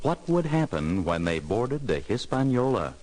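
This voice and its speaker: A man's voice: mid-range voice, sing-song, normal speed, rough recording. A man narrating a scripted children's story record in a dramatic, inviting way. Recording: loud music behind the voice.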